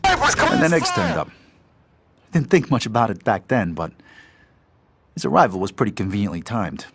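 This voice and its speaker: A man's voice: on the low side, wildly swooping pitch, normal speed, fairly bright tone, husky, full character voice. A man playing a tough, streetwise New mobster who narrates his story in a game cutscene, hard-edged and profane. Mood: grim recollection. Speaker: narrating protagonist.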